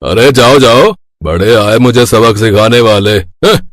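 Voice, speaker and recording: A man's voice: medium pitch, a little slow, no room sound, clean studio sound, natural voice. Man acting a glib, sly village shopkeeper. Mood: dismissive. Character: sly, brash shopkeeper brother-in-law who cheats. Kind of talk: Hindi animated bedtime story acting.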